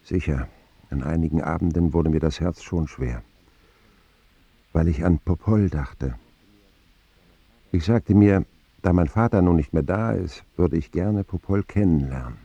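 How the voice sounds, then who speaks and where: A man's voice: measured pace, pauses often, mellow, no echo, highs cut off, heavily acted. An actor playing a reflective, wry man narrating his lonely boyhood in a radio drama. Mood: wistful. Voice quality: deep, gravelly. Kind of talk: scripted first-person radio drama narration.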